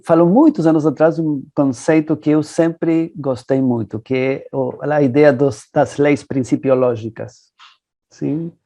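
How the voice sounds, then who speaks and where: Guy, lawyer on a panel, kinda high but mellow, lots of ehs. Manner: measured, hesitant with many fillers. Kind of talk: panel discussion answer. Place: online webinar.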